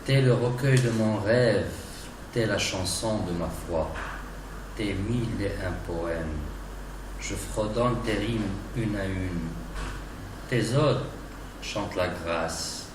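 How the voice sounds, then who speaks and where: A man giving a dramatic, declamatory recitation of romantic poetry in deliberate phrases. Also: fairly low pitch. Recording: distant, echoey, noisy recording.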